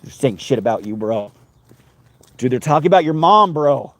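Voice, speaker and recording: A man's voice: medium pitch, lively intonation, moderate pace, no echo. A man rambling casually on a livestream from a car, talking to his dog and his viewers. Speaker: livestreamer on a road trip.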